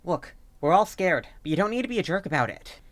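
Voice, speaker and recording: An actor playing a worried, reassuring young student peacemaker in an amateur audio drama, measured and conciliatory. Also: medium pitch, very animated, no room sound, natural voice.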